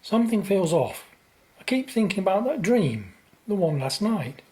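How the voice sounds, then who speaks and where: A woman's voice: mid-range voice, sing-song, moderate pace, warm tone, natural voice. A woman playing an earnest, uneasy young man in a radio play. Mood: worried. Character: anxious, earnest young man troubled by dreams.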